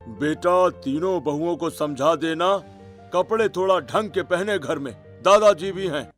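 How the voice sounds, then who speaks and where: A man's voice: on the high side, measured pace, a bit bright, faint music behind. A man playing a worried, polite middle-aged father in a cartoon family story, earnest and careful. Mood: concerned.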